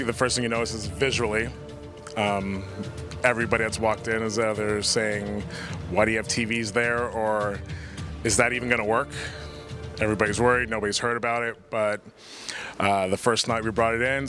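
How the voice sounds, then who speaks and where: A man who works as a theater technical director, giving an earnest, informal, explanatory testimonial in an interview. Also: lowish voice. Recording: music playing behind the voice. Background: background music.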